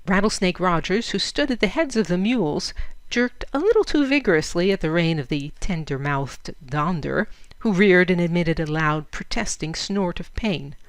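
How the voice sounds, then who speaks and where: A woman's voice: lowish voice, animated, normal speed, dry recording. A woman narrating a literary short story expressively, as in an audiobook.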